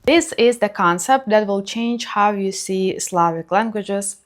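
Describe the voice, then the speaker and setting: A woman's voice: mid-range voice, measured pace. Young woman. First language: Ukrainian and Russian. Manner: clear, enthusiastic, listing examples. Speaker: language educator, channel host. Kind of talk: scripted educational explainer.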